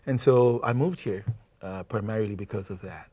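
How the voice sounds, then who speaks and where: A man in his thirties from Zambia, a singer-songwriter guest talking casually in a TV studio, animated and quick to laugh. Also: fairly low pitch, no room sound. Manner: animated, laughs often, repeats words. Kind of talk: casual interview talk. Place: TV studio set.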